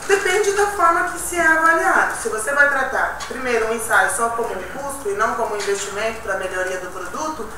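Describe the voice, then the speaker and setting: A woman's voice: fairly high pitch, animated, brisk, hardly any pauses. A woman arguing and explaining at a meeting, tagging her points with "né". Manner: explanatory, argumentative, with many "né". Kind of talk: discussion at a meeting. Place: meeting room. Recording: echoey room from far off, noisy.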